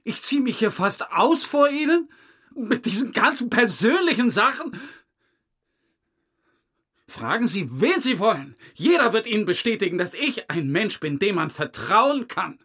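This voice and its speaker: An actor playing a defensive, wounded murder suspect in a crime drama, halting, self-pitying and insistent on his honesty. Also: sits high, sing-song, bright, forceful tone, breathy edge, heavily acted. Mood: indignant pleading. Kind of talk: German crime radio drama dialogue.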